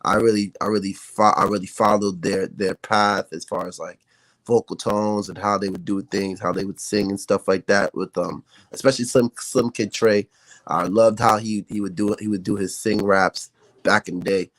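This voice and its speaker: A man's voice: fairly low pitch, steady tone, moderate pace, fairly bright tone, no room sound. An American man, a rapper, talking casually as a podcast guest with slangy speech and frequent check-in tags. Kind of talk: casual interview chat.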